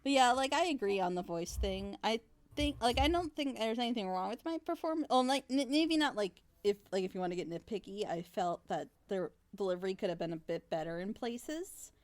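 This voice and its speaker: A woman's voice: mid-range voice, animated, fairly quick, darkish tone. A woman hosting a casual group commentary chat, speaking in a hedging, self-critical way. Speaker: host of a group reaction discussion. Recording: noisy mic with clicks.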